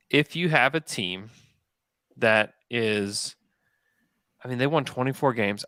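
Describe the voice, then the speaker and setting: A man's voice: fairly low pitch, vocal fry, bright, forceful tone, no echo, clean studio sound. A man hosting a sports podcast, arguing his points emphatically in a casual debate.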